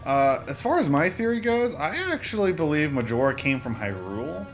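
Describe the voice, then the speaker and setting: A man's voice: fairly high pitch, a little fast. Young male gamer host, loose rambly commentary, game music underneath. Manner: rambling, excitable, lots of fillers and self-corrections. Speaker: gaming channel host. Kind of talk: casual let's-play commentary. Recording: game music playing behind voice. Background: video game music.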